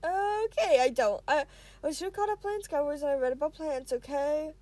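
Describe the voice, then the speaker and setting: A woman's voice: sits high, normal speed, darkish tone, no echo, natural voice. A woman voicing an anxious, flustered young male student who rambles in a frantic way, for a story reading. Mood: embarrassed.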